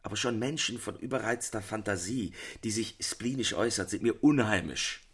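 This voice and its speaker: Husky, fastidious gentleman. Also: on the low side. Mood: disdainful. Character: fastidious male gentleman who shuns eccentrics. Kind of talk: literary radio drama dialogue.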